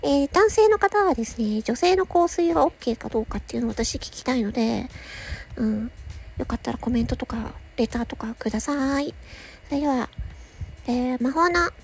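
A woman hosting a casual solo audio show, chatting playfully with self-mocking asides and a husky, slightly creaky voice. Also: sits high, expressive, moderate pace, a little hiss or hum.

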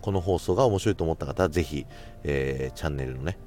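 A man's voice: extremely low pitch, quick pace, warm tone, faint music behind. A man hosting a solo radio-style podcast, talking casually and politely to his listeners in a chatty way.

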